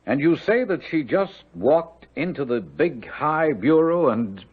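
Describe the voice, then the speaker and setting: An actor playing a middle-aged gentleman doctor in a radio drama, exclamatory and commanding, with some hesitation. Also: a little high, expressive, measured pace, breathy edge. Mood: brisk, skeptical. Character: brisk, skeptical gentleman doctor, shaken by discoveries. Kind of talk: 1970s radio drama acting. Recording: muffled, lo-fi vintage radio recording.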